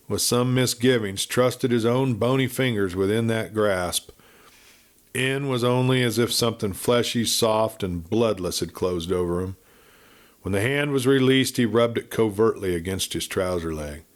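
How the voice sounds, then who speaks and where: A man narrating a Western audiobook as a dramatic storyteller, with measured, theatrical phrasing. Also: fairly low pitch.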